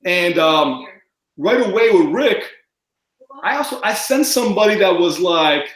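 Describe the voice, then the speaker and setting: A man chatting as a podcast guest, mumbling and talking fast with lots of fillers. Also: sits high, expressive, fairly bright tone, roomy sound, low-quality recording. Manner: rambling with many fillers. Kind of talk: casual podcast chat.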